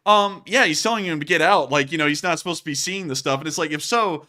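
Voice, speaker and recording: A man's voice: a little high, quick pace. A man hosting a war news show, talking casually over video clips, speaking straight to his viewers and often sounding incredulous. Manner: animated, talks straight to viewers, incredulous asides.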